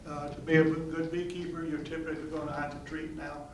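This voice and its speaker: A man's voice: on the high side, little pitch movement, brisk. A man adding matter-of-fact remarks during a class. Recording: distant mic in echoey room with noise.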